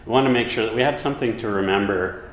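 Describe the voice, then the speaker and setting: A man's voice: on the low side, fast talker, slight background noise. A man who organized an exhibit, explaining its idea in an interview. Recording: echoey with faint ambience.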